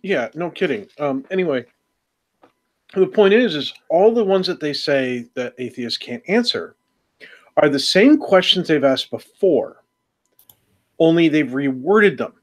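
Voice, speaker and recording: Ranting man with a slightly high voice. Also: lively intonation, unhurried, pauses often. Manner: ranting, sarcastic jokes. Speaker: podcast co-host. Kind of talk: casual round-table chat.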